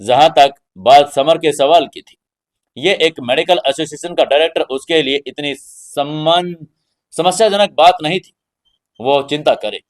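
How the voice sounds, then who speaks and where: A male narrator with a slightly high voice. He hosts and tells an audio story in a dramatic storytelling style. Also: a little slow.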